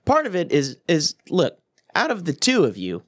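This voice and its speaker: A man's voice: fairly high pitch, wildly swooping pitch, moderate pace. A man, telling stories in casual banter with friends, swearing and laughing often. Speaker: podcast co-host.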